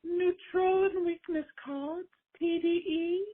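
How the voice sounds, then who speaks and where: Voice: extremely high pitch, steady tone, takes it slowly, narrow, tinny audio. Seseorang memerankan ksatria konyol yang merengek dan menjerit, membacakan daftar kartu dengan kata-kata diulur dalam pesan suara komedi. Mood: shrill, whiny. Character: shrill, wailing comic knight caller reading card lists. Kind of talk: comedic voicemail message.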